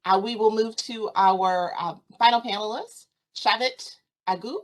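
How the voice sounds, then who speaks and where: Female moderator, mid-pitched, courteous, measured. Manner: polite, measured, with fillers. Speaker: panel moderator. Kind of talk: moderating a panel.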